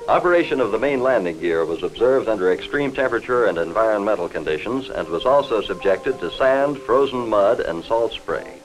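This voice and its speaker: Low-voiced American 1950s newsreel narrator, man. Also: animated, soft background music, rough recording. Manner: formal, measured, factual. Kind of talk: 1950s scripted industrial film narration. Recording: vintage 1950s film soundtrack.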